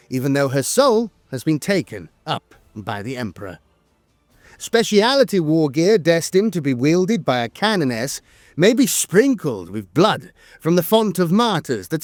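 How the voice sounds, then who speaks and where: A man's voice: fairly high pitch, dry recording, clean studio sound. A man narrating scripted lore in a solemn, formal and dramatic way.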